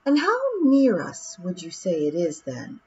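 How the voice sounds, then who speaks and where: A woman voicing a brash, tiny male pixie warrior leader with a Scottish accent, loud and boisterous. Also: on the low side, sing-song, unhurried, slight background noise. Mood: bluff. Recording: dull lo-fi audiobook recording.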